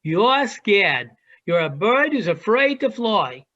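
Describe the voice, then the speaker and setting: A woman acting as a teasing, worldly adult seagull in an amateur virtual one-act play, with drawn-out delivery. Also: mid-range voice, very animated, fairly bright tone, exaggerated voice. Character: teasing, worldly adult seagull perched high above the city. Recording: dull lo-fi video call audio.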